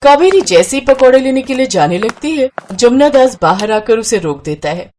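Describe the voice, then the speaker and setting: A woman's voice: on the high side, very animated, moderate pace, darkish tone, a little hiss or hum. A woman narrating a scripted story as its storyteller.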